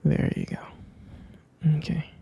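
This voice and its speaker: A man playing a doting, gently teasing vampire boyfriend in a whispered ASMR roleplay, with a breathy, husky delivery, hesitant and tender. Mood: caring, gentle. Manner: slow, hesitant, tender pet names.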